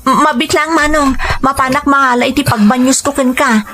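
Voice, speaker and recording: A woman's voice: sits high, fairly even intonation, fairly quick, natural voice. A woman playing a caring, attentive younger in-law in an Ilocano radio drama, speaking in a coaxing, solicitous way. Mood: worried.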